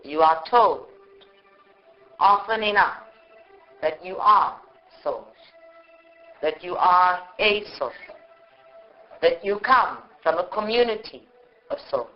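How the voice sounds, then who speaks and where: Very slow woman guiding meditation. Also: fairly low pitch, animated, faint music behind. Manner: slow, measured, with long pauses between short phrases. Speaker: meditation guide. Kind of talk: guided meditation. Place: live group meditation session. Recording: dull lo-fi live recording.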